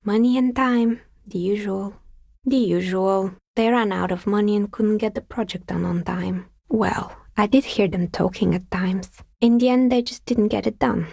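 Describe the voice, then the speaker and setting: Husky, dry-witted craftswoman. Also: mid-range voice, a little mellow, no echo. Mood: matter-of-fact. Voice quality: husky, breathy with some creak. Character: wry, pragmatic woman craftsperson, a novelty dicemaker. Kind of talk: video game character dialogue.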